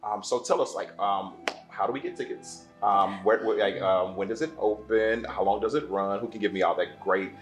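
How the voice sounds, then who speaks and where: A man hosting a casual interview at a table with guests, upbeat and quick to repeat his praise. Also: fairly low pitch, faint music behind. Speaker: interviewer, magazine host.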